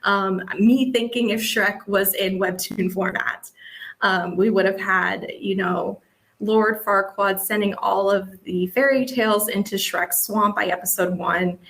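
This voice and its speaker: A woman's voice: medium pitch, fairly even intonation, measured pace, fairly bright tone, low-quality recording. A woman presenting an interactive workshop as an editor, talking casually and peppering her explanations with "like" and "you know".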